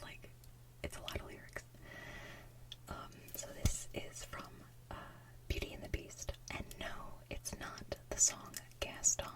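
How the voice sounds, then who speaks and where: A woman's voice: distant mic, poor audio. A woman hosting ASMR in a casual whisper, with giggly, chatty asides. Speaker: ASMR channel host and singer.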